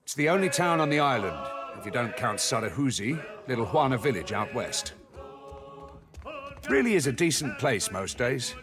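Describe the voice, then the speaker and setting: An actor playing a proud middle-aged tavern keeper and former sailor, chatty and hospitable in a bluff way. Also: male voice, mid-range voice, big pitch swings, moderate pace, lightly acted. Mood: friendly. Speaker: innkeeper. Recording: game soundtrack under the voice. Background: music.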